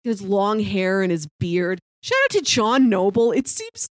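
A woman's voice: medium pitch, big pitch swings, a little slow, no room sound, clean studio sound. A woman co-hosting a casual podcast discussion of a TV show, talking in an enthusiastic, appreciative way. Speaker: podcast co-host.

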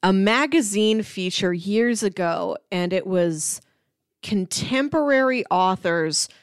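A woman's voice: mid-range voice, animated, slow pace, smooth, dry recording. Conversational woman, dry close sound. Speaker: podcast co-host. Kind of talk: casual film discussion.

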